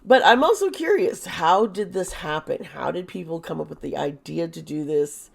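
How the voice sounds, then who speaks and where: A hoarse woman who is losing her voice, talking through a tarot topic in a rambling, chatty way with sarcastic asides. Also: low-pitched, expressive, normal speed. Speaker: tarot reader. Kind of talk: casual monologue.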